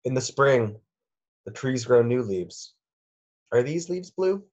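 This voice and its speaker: A man's voice: mid-range voice, expressive, slow, a little mellow, highs cut off. A man reading a children's picture book aloud in simple phrases, with lilting questions for young listeners.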